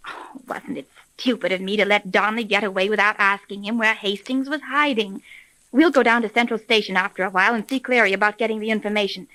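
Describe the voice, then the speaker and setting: An actress playing a shrewd, confident private detective, speaking briskly in a 1930s radio serial. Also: female voice, mid-range voice, fairly even intonation, bright, forceful tone, dry recording, natural voice, poor audio. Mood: confident, analytical. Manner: brisk, self-assured.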